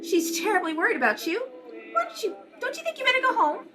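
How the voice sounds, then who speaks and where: Woman as a high-voiced, genteel society wife. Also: sing-song, fast talker, over music. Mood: concerned. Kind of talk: old-time radio drama acting. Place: indoors at a kitchen table.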